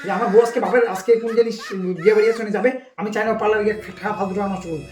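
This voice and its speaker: A man's voice: high voice, steady tone, racing, darkish tone. A man doing domestic comedy banter as a teasing, complaining husband. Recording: very noisy home-video sound.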